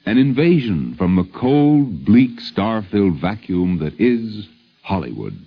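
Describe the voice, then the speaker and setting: Husky, low-voiced 1950s American radio narrator. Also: male voice, very animated, measured pace. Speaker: documentary narrator and host. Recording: dull lo-fi vintage radio recording.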